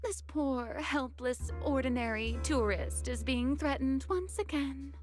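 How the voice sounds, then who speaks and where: Light music sits under a sly, elegant anime game lady. Her fairly high voice is coy and polite, teasing with a theatrical, mocking courtesy. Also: unhurried, darkish tone. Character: sly, elegant, teasing woman, feigning helplessness. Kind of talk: anime video game voice acting.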